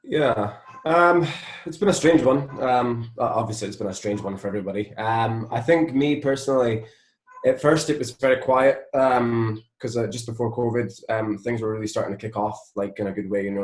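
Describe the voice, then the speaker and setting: A man's voice: on the low side, fairly quick. Scottish man in an echoey room. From Scotland. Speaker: interview guest, musician and songwriter. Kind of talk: casual interview chat. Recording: roomy, echoing sound.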